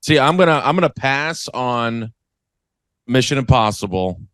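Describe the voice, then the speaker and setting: A man's voice: mid-range voice, lively intonation, a bit bright, smooth, no room sound. A man co-hosting a comedy podcast, joking and riffing in casual chat on a close microphone. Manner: joking, riffing, quick impressions. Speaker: podcast co-host. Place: apartment.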